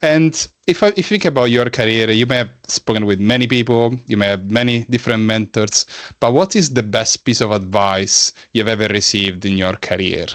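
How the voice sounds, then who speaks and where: Italian-accented male host, lowish pitch, dry close mic, upbeat interviewer. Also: normal speed, no room sound. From Italy. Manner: enthusiastic, friendly questioning. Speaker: podcast host. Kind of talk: casual interview chat.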